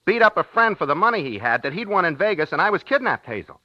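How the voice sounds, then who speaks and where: A high-pitched, rattled man pours out his confession in 1950s radio drama style. He sounds agitated and urgent, on a dry, dull vintage recording. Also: very animated, fast talker, no echo. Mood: agitated, relieved. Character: shaken man phoning home after a kidnapping scare.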